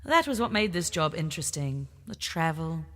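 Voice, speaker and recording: A woman's voice: sits low, very animated, moderate pace. A woman playing a restless, wry field agent in an audio drama, with a theatrical, sardonic manner. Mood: wry complaint. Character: restless, wry female field agent, bored of desk work. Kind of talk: full-cast audio drama acting. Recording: long reverberant hall echo.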